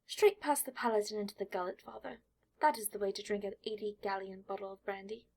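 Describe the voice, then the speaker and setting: A woman voicing a precocious, indignant young boy with a pedantic manner that turns shrill when annoyed, in an audiobook story. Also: fairly even intonation, fast talker, vocal fry, a little mellow. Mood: sarcastic.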